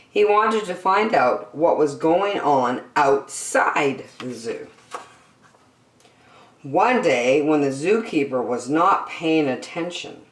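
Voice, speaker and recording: A woman hosting story time and reading a children's picture book aloud in a deliberate, expressive way for young listeners. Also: sits low. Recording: echoey room, distant microphone.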